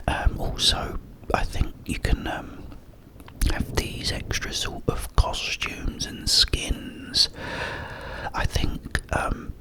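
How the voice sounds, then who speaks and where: A man whispering a rambling, gently enthusiastic ASMR chat in a breathy, hushed voice, close to the microphone. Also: poor audio. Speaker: ASMR creator.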